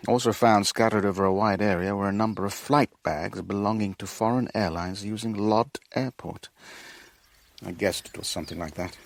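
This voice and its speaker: A man's voice: lowish voice, moderate pace, creaky, no room sound. A man acting in a radio drama as a sardonic, defensive businessman and shipowner who is under attack. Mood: insistent.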